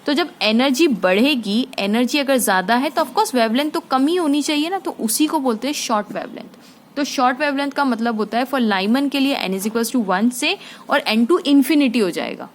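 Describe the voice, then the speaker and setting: A woman's voice: sits high, animated, moderate pace. A woman teacher explaining a physics problem step by step, often asking her students checking questions and mixing in English terms.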